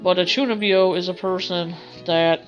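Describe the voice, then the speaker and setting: A woman's voice: on the low side, fairly even intonation, normal speed, dull audio. A woman streaming a game, reading a visual novel aloud with informal, rambling asides. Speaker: gaming streamer. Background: game music.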